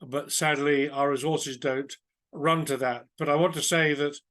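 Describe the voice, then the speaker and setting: A man, hoarse from a cold, speaks in a fairly high voice. He talks haltingly, with frequent ums, pauses and restarts. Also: fairly even intonation, a bit bright. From England. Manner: hesitant, many ums and restarts. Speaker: project lead. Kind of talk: webinar remarks.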